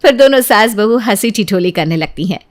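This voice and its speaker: A woman's voice: medium pitch, animated, brisk. A woman reading a scripted family story as its narrator.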